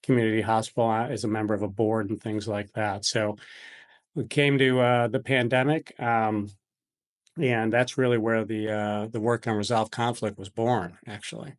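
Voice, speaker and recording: A man's voice: fairly low pitch, flat delivery, normal speed. A middle-aged American man, a physician from Buffalo, answering interview questions conversationally in hesitant, meandering phrases. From Buffalo, New York, United States.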